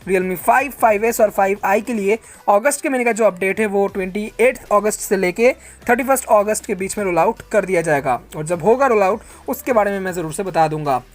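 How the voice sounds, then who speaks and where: A man's voice: sits high, fairly quick, hardly any pauses. A man answers viewer questions in a casual, informal vlog style, addressing listeners like friends.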